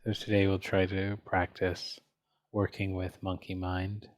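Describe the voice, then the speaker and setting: Male meditation teacher, low and even in tone, gentle delivery with plenty of space between phrases. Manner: gentle, unhurried, pausing often between phrases. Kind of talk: guided meditation.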